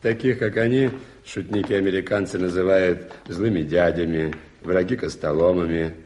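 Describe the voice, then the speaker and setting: An actor playing a well-informed, confiding guide in an articulate, theatrical 1980s Soviet radio play style. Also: male voice, deep voice, steady tone, moderate pace, a little mellow, lightly acted. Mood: explaining. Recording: hissy old radio drama recording.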